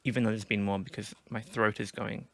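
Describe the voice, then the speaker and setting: A man going hoarse from a sore throat, giving casual commentary on his team draft and thinking aloud with many restarts. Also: lowish voice, little pitch movement, brisk, fairly bright tone. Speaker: gaming video creator.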